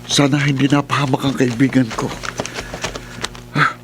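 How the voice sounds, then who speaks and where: A man's voice: medium pitch, level tone, moderate pace, music in the background, lightly acted. A man playing an earnest, worried young man with urgent delivery in a radio drama. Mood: alarmed, searching.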